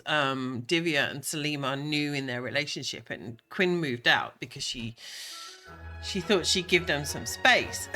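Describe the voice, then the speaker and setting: A voice, a bit croaky and stuffed up from a cold, talks over a life-sim game. The delivery is slow and unhurried, with a bright, clear edge. The speaker rambles on easily, with plenty of ums and restarts. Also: mid-range voice. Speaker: Sims gameplay creator. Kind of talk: casual gameplay commentary.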